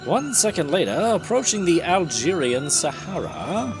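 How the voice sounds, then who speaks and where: Jokey guy host, kinda high animated voice, dramatic reading plus banter, music under it. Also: moderate pace. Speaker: host and narrator of a comedy show. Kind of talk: comic dramatic reading mixed with casual banter.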